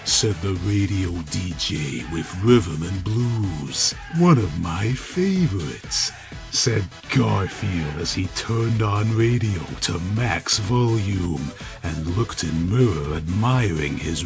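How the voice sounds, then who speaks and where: Man narrating a story slowly over music. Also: sits low. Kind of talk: dramatic story reading.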